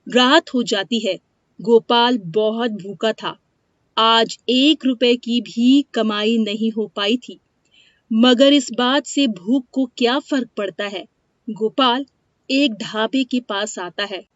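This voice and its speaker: Woman narrator for moral tales, unhurried delivery, dry and slightly dull recording. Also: on the high side, no room sound. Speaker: storyteller. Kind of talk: scripted moral-story narration. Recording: dry, dull lo-fi studio sound.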